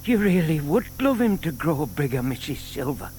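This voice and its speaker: An actor playing a shy, lovelorn older bachelor in an audio drama, earnest and hesitant in manner. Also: male voice, fairly high pitch, big pitch swings, moderate pace, darkish tone, slight background noise. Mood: gentle. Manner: hesitant, earnest, murmured asides.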